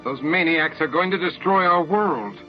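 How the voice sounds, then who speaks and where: A man's voice: a little high, moderate pace, muffled recording, faint music behind, voice pushed up, natural voice. A man voicing a panicked leader of a threatened world in a sci-fi audiobook, urgent and snapping. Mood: panicked urgency.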